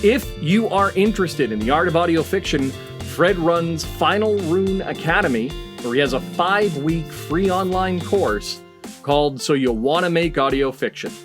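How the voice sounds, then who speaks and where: Male podcast host, slightly high voice, introductions and interview questions, music behind. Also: expressive, moderate pace.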